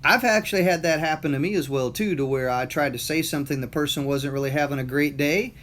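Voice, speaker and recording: A man's voice: on the high side, quick pace, a bit bright, slight background noise. A middle-aged man with a Southern US accent, talking casually and motivationally, rambling with many fillers and repeated words. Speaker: motivational talker. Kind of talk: casual monologue.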